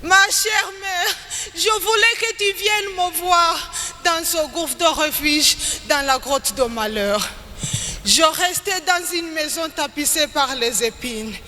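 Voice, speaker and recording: A woman's voice: very high voice, bright, forceful tone. A woman reciting dramatic spoken-word poetry on stage, with an emphatic, declamatory delivery. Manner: slow, emphatic, declamatory delivery with rising and falling phrases. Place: large hall at a live event. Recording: echoey hall with background noise.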